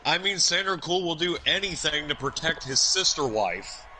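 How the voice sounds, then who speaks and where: A man's voice: a little high, expressive, normal speed, crowd noise, poor audio. A man doing wrestling color commentary, sarcastic and combative toward his co-host. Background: loud music.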